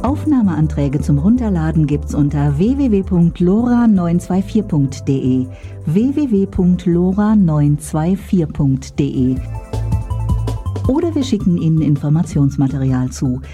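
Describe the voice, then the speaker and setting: A woman's voice: fairly low pitch, sing-song, a little slow, mellow. A woman reading a scripted radio station promo as announcer, with music behind her.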